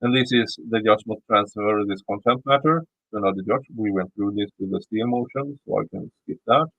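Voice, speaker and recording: A man's voice: fairly low pitch, a little fast, no room sound, narrow, tinny audio. A man with a Swedish accent who is not a native English speaker, reading a court filing aloud, stumbling and restarting words and throwing in blunt, cursing asides. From Sweden. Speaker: YouTube commentator.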